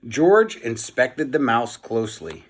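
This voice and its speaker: A man's voice: mid-range voice, sing-song, slow, breathy edge. A man narrating a children's storybook aloud, as a teacher reading for young listeners.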